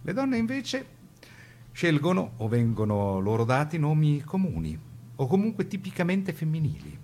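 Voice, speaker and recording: A man delivering scripted dramatic documentary narration for radio in a measured, theatrical way. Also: on the high side, huge pitch swings, a little mellow, slight background noise.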